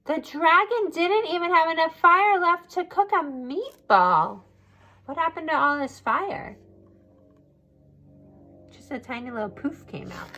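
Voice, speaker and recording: A woman's voice: extremely high pitch, animated, a little slow, pauses often, sharp tone, faint music behind. A woman reading a picture book aloud to children expressively, adding asides to her listeners.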